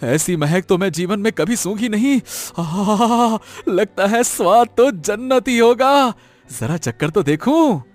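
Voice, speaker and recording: A man playing an excitable, greedy male jackal in an animated moral story, exclaiming and repeating his words. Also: high-pitched, unhurried. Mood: greedy delight.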